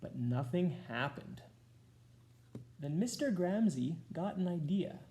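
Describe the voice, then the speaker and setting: Low, mellow woman reading a storybook slowly. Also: expressive, a little hiss or hum. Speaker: school staff member reading aloud. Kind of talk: children's storybook read-aloud.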